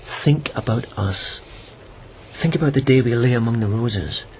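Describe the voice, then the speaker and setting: An actor playing a lovesick young lieutenant, earnest and tender with a self-mocking edge. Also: male voice, mid-range voice, a little slow, a little mellow, slight background noise, close to an everyday voice. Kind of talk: radio drama acting.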